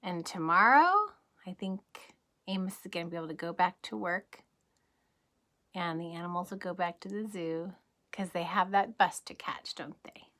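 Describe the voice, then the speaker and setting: A woman reading a picture book aloud as a kindergarten teacher, gently and expressively, with questions to the listeners. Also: on the low side, animated, normal speed.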